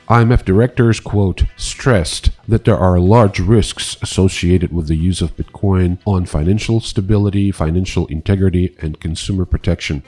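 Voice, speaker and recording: A man's voice: low voice, warm tone, slightly rough. A man presenting news in a scripted narration style.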